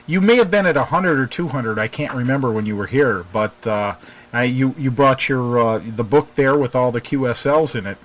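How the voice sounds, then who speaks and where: A man's voice: mid-range voice, moderate pace, poor audio. A man hosting a radio show, chatting casually with a guest and asking questions. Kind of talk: casual interview chat.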